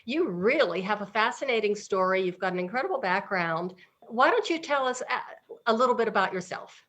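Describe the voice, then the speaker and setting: A woman's voice: medium pitch, lively intonation, brisk, fairly bright tone. Host, middle-aged woman, mid-range voice, upbeat and gushing interviewer. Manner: enthusiastic, effusive praise. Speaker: podcast host. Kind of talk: interview hosting.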